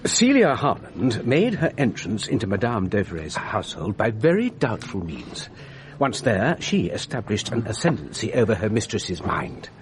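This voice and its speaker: A pompous gentleman amateur detective in a radio play, earnest and methodical. His formal delivery has a varied, lively melody, over faint background noise. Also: on the low side, moderate pace. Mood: methodical, self-satisfied. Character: precise, earnest English gentleman amateur sleuth, rather pompous. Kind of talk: 1990s British radio drama acting.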